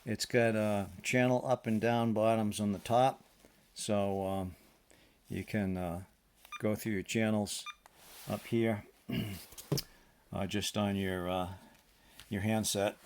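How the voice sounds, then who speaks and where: A man giving an informal hands-on demonstration as a radio hobbyist, speaking haltingly and often hesitating. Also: fairly low pitch, fairly even intonation, a little mellow, slight background noise. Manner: halting, hesitant, throat-clearing.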